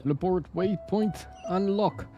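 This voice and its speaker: A man's voice: high-pitched, a little slow, mellow. A man with an Indonesian accent giving casual, playful, exclamatory commentary while live-streaming a game. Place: indoor room, streaming setup. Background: game music.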